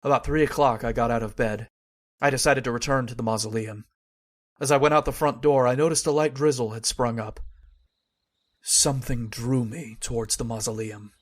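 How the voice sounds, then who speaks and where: A man's voice: mid-range voice, fairly even intonation, moderate pace, natural voice. A man playing a skeptical but haunted narrator in an old-time radio horror drama.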